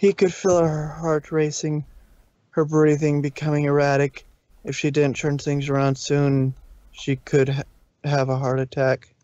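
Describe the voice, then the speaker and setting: A man narrates a story in an amateur reading style. His voice sits a bit high, and he can trip over words as he reads. The sound is dry and somewhat dull, from a close home microphone. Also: level tone, a little slow, a little mellow, dry recording.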